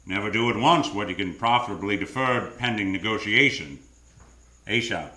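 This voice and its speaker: Casting: male actor as cool hard-boiled gumshoe for old-time radio, dry wit, deadpan delivery, live roomy stage sound. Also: lowish voice, moderate pace. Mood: cool, wry. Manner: deadpan, sardonic. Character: hard-boiled wisecracking private detective, cool and sardonic. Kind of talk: live 1940s-style radio drama acting and narration. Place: theater stage.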